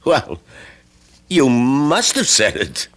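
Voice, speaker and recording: A man's voice: medium pitch, wildly swooping pitch, extremely slow, a bit bright, full character voice. A man playing a friendly, eager young boarder in old-time radio drama, speaking in a hesitant, boyish, earnest way. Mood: probing, casual. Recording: archival radio with faint background noise.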